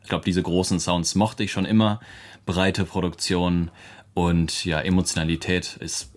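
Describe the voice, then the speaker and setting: A young man with a very low voice talks through his music in a relaxed, searching way. He is a producer and songwriter whose first language is English, and he pads his answers with fillers and quick light laughs. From Germany. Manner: loose and thoughtful, with many fillers and light laughs.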